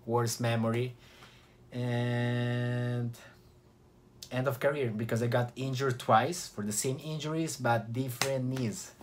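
A young man with an Italian accent, from Italy, chatting to camera in a casual vlog with relaxed restarts and short pauses. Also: mid-range voice, noisy background. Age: young adult. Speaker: vlogger.

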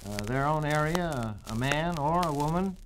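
Halting man on a noisy old radio disc. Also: fairly high pitch, lively intonation. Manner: halting, hesitant with many pauses. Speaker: state campaign chairman, company president. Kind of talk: formal radio interview answers. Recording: noisy, clicking 1940s radio transcription disc.

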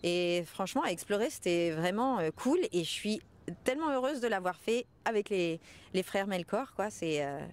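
A woman's voice: mid-range voice, animated, normal speed, clear, resonant tone. Woman, thirties, mid-range voice, conversational interview delivery. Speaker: actress being interviewed. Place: outdoors in front of a large mansion. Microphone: small mic held out by interviewer.

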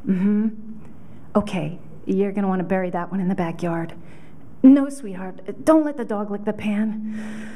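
Woman acting a mellow, harried deputy mayor mom. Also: medium pitch, unhurried, long pauses, lightly acted. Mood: harried, deadpan. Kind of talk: audition comedy monologue read. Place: hotel conference room, at a standing mic.

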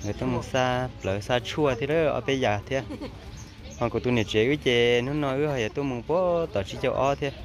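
A man in relaxed, casual chat outdoors at a roadside market, recorded on a handheld camera. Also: mid-range voice, fairly quick. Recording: outdoor camera audio with crowd.

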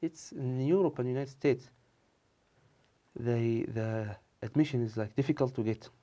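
A man in his twenties with an Arabic accent, answering interview questions in halting, repetitive non-native English. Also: medium pitch, mellow. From Saudi Arabia, of Tunisian family. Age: 20s. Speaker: interview guest, medical student. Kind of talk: conversational TV interview. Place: dark TV studio, indoors. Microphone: lapel mic.

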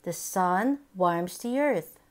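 A woman reading a picture book aloud for young children, with simple, deliberate, expressive phrasing. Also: lowish voice. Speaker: children's book reader, channel host.

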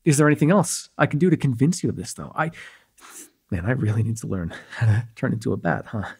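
Man acting a breathy, cocky young male vampire. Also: medium pitch, animated, quick pace, darkish tone, exaggerated voice. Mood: reassuring. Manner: rambling, playful, slangy, hesitant asides. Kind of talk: immersive ASMR roleplay acting, casual and conversational.

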